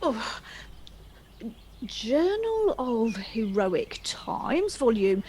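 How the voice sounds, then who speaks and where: A woman's voice: a little high, very animated, very slow, darkish tone, a little hiss or hum, full character voice. A woman playing a magazine reporter in a comic stage play, reading a florid article aloud with grandiose delivery and small hesitations.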